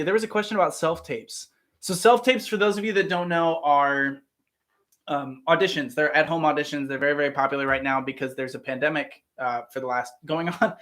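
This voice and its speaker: Man, standard American accent, from Ohio, slightly high pitch, rambling enthusiastic livestream chat, home studio. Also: fairly bright tone. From Ohio, United States. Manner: enthusiastic, rambling, many fillers and restarts. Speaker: actor hosting a live Q&A.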